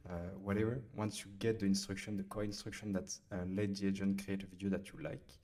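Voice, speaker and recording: A man with a French accent, casually talking through a software tutorial at his computer, pausing often with fillers as he types. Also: low-pitched, flat delivery. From France. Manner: hesitant, many fillers while typing. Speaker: software demo host. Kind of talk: casual screen-recorded tutorial.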